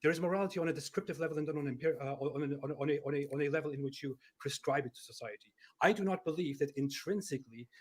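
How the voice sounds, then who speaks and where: Quick-speaking man arguing defensively. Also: on the high side, breathy edge. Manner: halting, repetitive, defensive. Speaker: debater. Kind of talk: heated live debate.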